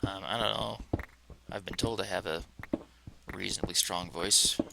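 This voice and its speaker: A man casually talking to himself as he plays a game, rambling in a relaxed way. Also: deep voice, normal speed, gravelly, noisy background. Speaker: let's play host. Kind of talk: casual gameplay commentary.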